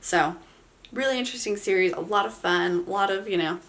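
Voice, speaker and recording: A woman's voice: medium pitch, a little fast, a bit bright. A woman giving a casual, chatty book review, enthusiastic and informal, sounding nasal from a head cold.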